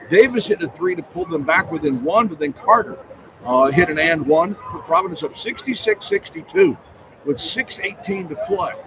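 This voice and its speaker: A man working as a radio play-by-play announcer, haltingly reading out stats in a postgame recap from a basketball arena. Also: sits high. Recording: live arena broadcast with clear background noise. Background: noisy arena ambience.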